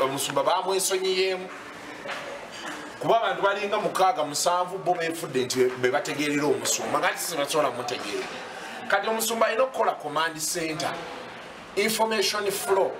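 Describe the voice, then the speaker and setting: A middle-aged man from Uganda speaking emphatically and indignantly in an interview. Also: a little high. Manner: emphatic, indignant, mixing two languages. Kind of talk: forceful statement to camera. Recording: roomy, distant, noisy field audio.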